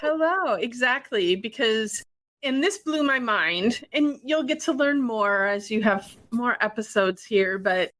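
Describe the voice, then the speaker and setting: A woman from Wisconsin co-hosting a podcast, chatting casually and telling stories in a quick, animated way with acted-out quotes. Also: fairly high pitch. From Wisconsin, USA. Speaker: podcast co-host.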